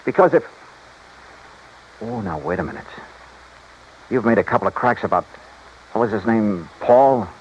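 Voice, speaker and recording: An actor playing a hard-boiled, sardonic American insurance investigator in a 1950s radio detective drama, with a clipped, pressing delivery. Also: male voice, on the low side, sing-song, moderate pace, pauses often. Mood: sardonic, accusing. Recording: muffled, noisy old radio recording.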